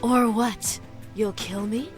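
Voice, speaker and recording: Seductive succubus acted by a woman, taunting, menacing, theatrical, drawn-out teasing, music underneath. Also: on the high side, fairly even intonation. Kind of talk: visual novel voice acting.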